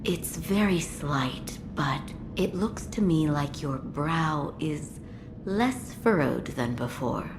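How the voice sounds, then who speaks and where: A woman playing a calm, observant retainer in a video game, measured, reserved and courteous. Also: low-pitched, noisy background, full character voice. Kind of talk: dramatic video game dialogue.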